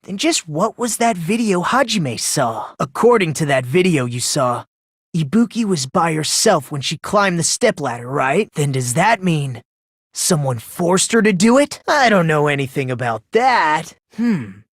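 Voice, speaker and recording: Casting: polite, unsettlingly excitable teenage boy for a mystery game; breathy gushing and soft musing, highly varied intonation, dry studio sound. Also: measured pace, no echo. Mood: pensive. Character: polite, self-loathing, eerily excitable teenage boy sleuth. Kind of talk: English video game voice acting.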